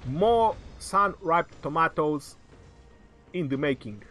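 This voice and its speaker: A man calling a strategy game match live, in excitable, joking play-by-play, his voice going hoarse. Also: fairly high pitch, slow pace, soft background music.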